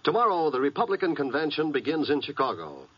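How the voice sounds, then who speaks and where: Male announcer for vintage radio promo: husky, lively intonation, slightly high, dull old broadcast sound. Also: quick pace. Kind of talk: scripted 1950s radio promo.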